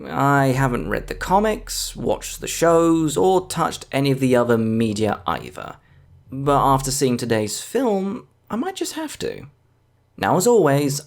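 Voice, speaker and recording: A man giving scripted video essay narration as a film reviewer, with an enthusiastic, emphatic delivery. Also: medium pitch. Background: background music.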